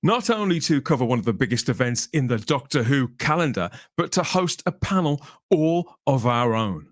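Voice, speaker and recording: A man's voice: mid-range voice, very animated, normal speed, no room sound, clean studio sound. A man from Birmingham with an English accent, presenting and chatting as a podcast host. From Birmingham, England. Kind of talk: upbeat podcast presenting and chat.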